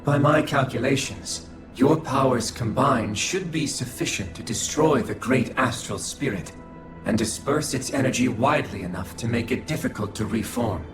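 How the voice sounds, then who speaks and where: A man playing a calm, coldly logical alien observer in a video game, speaking formally and dispassionately. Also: mid-range voice, natural voice. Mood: detached, formal. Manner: formal, measured, dispassionate delivery. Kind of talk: scripted video game cutscene acting. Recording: echoing voice over background music.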